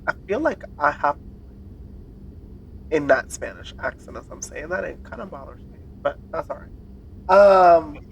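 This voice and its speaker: A woman's voice: deep voice, animated, normal speed, gravelly, long pauses, a little hiss or hum, rough recording. A woman co-hosting a podcast in casual chat, playfully quizzing and teasing her co-host and laughing often.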